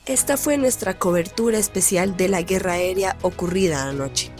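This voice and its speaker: A woman's voice: fairly low pitch, moderate pace, darkish tone, soft background music, lightly acted. A woman playing a radio news anchor delivering a formal, serious scripted war bulletin.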